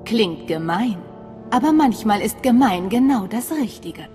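A woman playing a composed, determined secret agent in a video game, measured and terse. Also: on the high side, animated, darkish tone. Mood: assured, determined. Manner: measured, terse, self-assured. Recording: long reverb, faint music behind.

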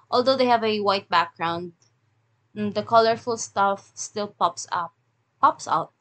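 A woman's voice: medium pitch, a bit bright. A woman narrating a screen-share review of bakery websites, mixing scripted lines with chatty asides and fillers. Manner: chatty, reads script then ad-libs with fillers. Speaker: web design reviewer.

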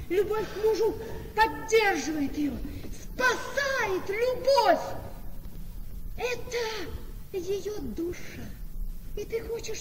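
Very high-voiced anguished wife, radio play. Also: female voice, darkish tone, full character voice. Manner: impassioned, pleading, dramatic. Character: devoted, virtuous young wife, anguished and naive. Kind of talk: Russian radio play acting, theatrical. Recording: reverberant studio radio play with noise.

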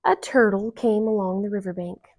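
A woman in her thirties reading a children's story aloud with expressive, deliberate narration. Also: medium pitch. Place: living room, indoors on a couch.

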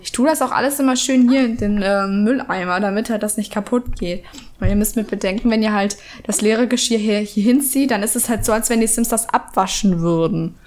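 A woman's voice: mid-range voice, a little fast, darkish tone. Chatty woman with a cold. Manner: chatty, rambling, many fillers. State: has a cold. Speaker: let's play host. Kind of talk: casual gameplay commentary. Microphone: desk microphone.

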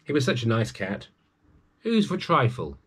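A man's voice: mid-range voice, very animated, normal speed, husky. A man voicing a sly, reluctant storybook fox, insincere and offhand. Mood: mock-sympathetic.

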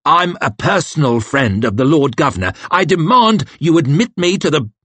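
A man's voice: fairly high pitch, sing-song, normal speed, fairly bright tone, breathy edge, no room sound, voice pushed up, full character voice. A man voicing a pompous, entitled old man who demands entry. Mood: indignant. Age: older.

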